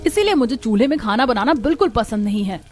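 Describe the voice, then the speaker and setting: A woman's voice: a little high, lively intonation, quick pace, natural voice. A woman voicing village women of a poor household in a cartoon story. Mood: worried. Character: village women of a poor family, daughters-in-law and mother-in-law.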